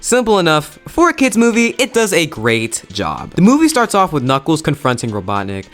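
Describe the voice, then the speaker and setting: A man's voice: on the high side, wildly swooping pitch, normal speed, a bit bright, faint music behind. A young adult man giving a casual, enthusiastic and emphatic video movie review in slangy language. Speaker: YouTube reviewer.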